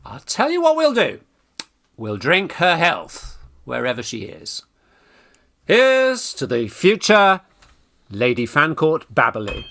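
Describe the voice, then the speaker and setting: An actor playing a breezy, bossy young English student gentleman in a Victorian radio farce, exclamatory and eager. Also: fairly high pitch, huge pitch swings, slow pace, creaky, heavily acted. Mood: brisk, urging. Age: young adult. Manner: commanding, exclamatory, slightly stumbling. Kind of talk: radio play farce acting.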